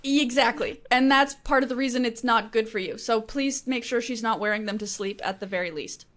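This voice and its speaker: High-pitched, giggly woman. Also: brisk, fairly bright tone. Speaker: podcast co-host. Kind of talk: casual humorous chat.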